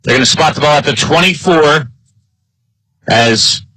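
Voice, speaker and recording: A man's voice: a little slow, bright, forceful tone, low-quality recording. An American man working as a play-by-play announcer, delivering live American football commentary. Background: live high school football game broadcast.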